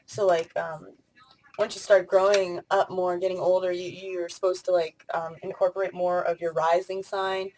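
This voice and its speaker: A woman's voice: fairly low pitch, little pitch movement, normal speed, a little mellow, slight background noise, poor audio. A woman in her late twenties talking casually in a vlog, rambling with many fillers and restarts. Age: 20s. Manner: rambling, spur-of-the-moment talk with many fillers. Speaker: vlogger.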